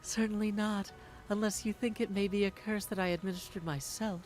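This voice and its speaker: A woman playing a secretive, imperious witch skilled in curses in a video game, speaking formally and haughtily in measured phrases. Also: medium pitch, fairly even intonation, darkish tone, soft background music, natural voice. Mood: haughty, guarded. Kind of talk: scripted video game voice acting.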